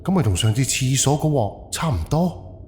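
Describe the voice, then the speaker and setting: A man's voice: mid-range voice, lively intonation, moderate pace, darkish tone, a little hiss or hum, natural voice. A man with a Cantonese accent playing a wary, hot-tempered haunted house owner in an audio drama, muttering bluntly to himself. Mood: wary, pondering.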